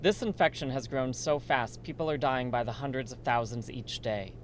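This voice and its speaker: A man's voice: mid-range voice, normal speed, lightly acted, low-quality recording. Man as earnest lab assistant, faint noise. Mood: worried, earnest. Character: earnest, worried male research lab assistant. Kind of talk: amateur radio drama dialogue.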